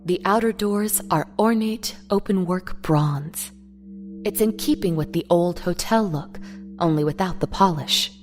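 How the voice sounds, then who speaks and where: A woman's voice: fairly low pitch, a little slow, darkish tone. A woman narrator reading a scripted dramatic story in the third person.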